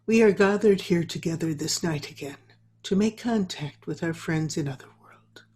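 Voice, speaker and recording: A woman playing a mysterious, solemn séance medium in a radio play, with formal, drawn-out, incantatory delivery. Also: lowish voice, mellow, natural voice. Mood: solemn trance. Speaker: amateur radio drama player. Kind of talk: old-time radio acting.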